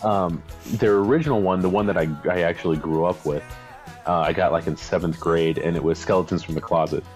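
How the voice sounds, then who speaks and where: A man's voice: deep voice, fairly quick, darkish tone, faint music behind. A man co-hosting a casual podcast while sick, coughing and losing his voice, talking in a laid-back, hesitant way. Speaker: podcast co-host. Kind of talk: casual chat.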